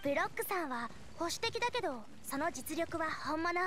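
A woman playing a cheerful, eager teenage girl chef in an anime game, with energetic, expressive and friendly delivery. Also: very high-pitched, normal speed. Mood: eager, confident. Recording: studio voice over game music.

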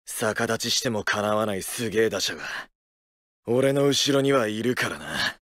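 An actor playing a rough, blunt, passionate high school ballplayer in a baseball game. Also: male voice, normal speed, lightly acted. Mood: gruff defiance. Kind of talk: anime-style game character lines.

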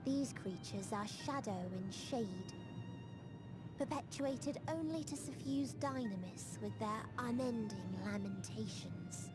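Slow, cold, otherworldly girlish being, played by a woman. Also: mid-range voice, lightly acted. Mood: bleak, detached. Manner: slow, deliberate, detached, archaic phrasing. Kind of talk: video game cutscene acting.

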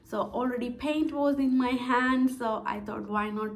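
A woman's voice: on the high side, a little slow. A woman in her thirties, a DIY vlogger, talking in a friendly, chatty way with hesitant fillers, filmed at home by a camera in front of her. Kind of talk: casual vlog narration. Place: home, indoors, with a toddler on her lap. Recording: music playing behind her voice. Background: background music.

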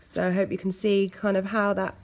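A woman with an English accent, hoarse from a cold, chatting casually as she talks through a nail art tutorial. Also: medium pitch, level tone, a little fast. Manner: chatty, loose, lots of fillers. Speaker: nail technician. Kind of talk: step-by-step tutorial narration.

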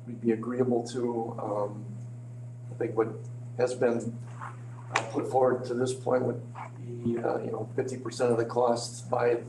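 A man with a slightly crackly voice, briefing a meeting informally as an official, halting and hedging as he goes. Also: medium pitch. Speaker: village official presenting to a board. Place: indoor village board meeting room. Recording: echoey meeting room, clear hum.

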